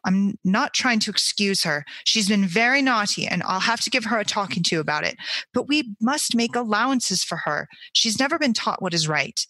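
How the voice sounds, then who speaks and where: A woman reading aloud as a reserved older guardian who speaks in a measured, earnest way. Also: medium pitch, lively intonation, pushed and bright, no room sound, poor audio. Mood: firm.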